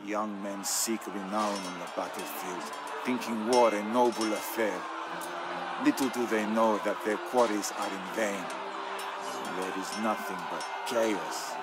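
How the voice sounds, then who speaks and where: A solemn Byzantine Greek man recounting his family's wars. His voice sits low, and he speaks in a measured, theatrical manner, with weighty gravity. It is scripted storyline narration for a strategy game, set over clearly audible music. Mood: mournful.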